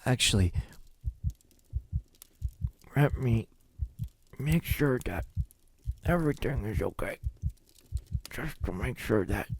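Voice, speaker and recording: A man playing a possessive, lovesick vampire captor in an ASMR roleplay, speaking intimately in a husky voice that often drops into a whisper. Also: mid-range voice, animated, a little hiss or hum. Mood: apologetic, soothing. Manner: slow, lingering, halting delivery.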